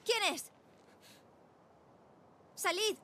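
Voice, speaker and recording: A woman's voice: extremely high pitch, extremely slow, pushed and bright, exaggerated voice. A woman playing a tough, wary teenage girl survivor in a video game, curt and defiant, with a Castilian Spanish accent. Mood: wary, threatening. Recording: game ambience and noise behind voice.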